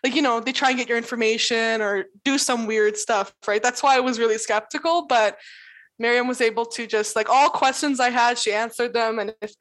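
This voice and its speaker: A woman chatting casually in an interview, talking in quick bursts full of "like" and laughing often. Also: fairly high pitch, steady tone, fairly bright tone. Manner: chatty, fills speech with "like". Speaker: interviewee, new employee. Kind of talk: casual conversation.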